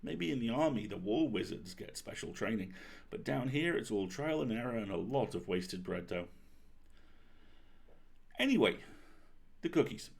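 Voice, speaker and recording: A man reading as a young girl baker and wizard, chatty and self-deprecating, in an expressive confiding storybook manner. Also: mid-range voice, moderate pace, gravelly, pauses often, slightly rough. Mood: wry, chatty.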